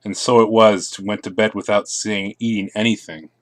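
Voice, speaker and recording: A man reading a children's picture book aloud, expressively, with soft asides to his listeners. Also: fairly low pitch, steady tone, moderate pace. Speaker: storybook reader.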